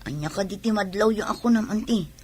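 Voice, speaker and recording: A woman's voice: mid-range voice, fairly quick, a little mellow, husky, close to an everyday voice. A woman with a Filipino accent playing an unhappy young pregnant wife, complaining and sulky, in a radio drama. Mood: defensive. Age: young adult. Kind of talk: Ilocano radio drama acting.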